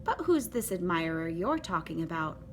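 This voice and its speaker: A woman voicing a sweet, curious, excitable little girl in a children's storybook. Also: fairly low pitch, moderate pace, slight background noise. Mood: grateful, curious.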